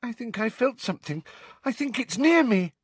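Storybook schoolgirl, played by a man: teenage, nervy and superstitious, high-pitched, urgent. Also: sing-song, moderate pace, slightly rough, dry recording, full character voice. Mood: alarmed.

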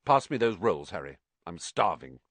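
A man's voice: sits low, sing-song, moderate pace, dry recording. A man voicing a prim, fussy teenage prefect who stammers when flustered. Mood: stiff.

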